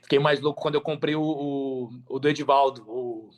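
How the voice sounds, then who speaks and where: A man's voice: medium pitch, fairly quick. A Brazilian man chatting casually as a podcast guest, speaking emphatically, repeating phrases and using plenty of slang. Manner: emphatic, repeats phrases, slangy, animated storytelling with acted-out quotes. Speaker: podcast guest, affiliate marketer.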